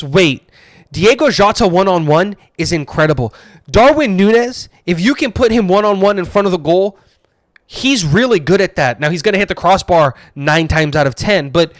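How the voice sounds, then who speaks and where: A man co-hosting a casual sports podcast, talking in animated, opinionated bursts with plenty of fillers. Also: sits high, no room sound, clean studio sound. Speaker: podcast co-host.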